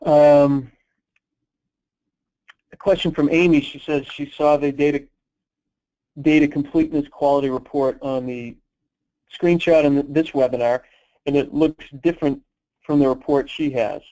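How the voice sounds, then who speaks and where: A man presenting on a conference call, answering questions in an unscripted, halting, explanatory way. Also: on the high side, steady tone, mellow. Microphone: telephone conference call. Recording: dull, lo-fi conference call line.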